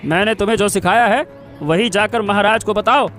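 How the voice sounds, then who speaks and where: Cartoon villain: scheming chief minister, very high pitch, menacing, self-important, drawn-out delivery. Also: male voice, fairly bright tone, soft background music. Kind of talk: Hindi cartoon story voice acting.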